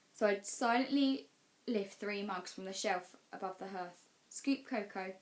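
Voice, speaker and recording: A woman narrating a children's novel as a teacher would for class storytime, expressive and measured. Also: medium pitch, low-quality recording.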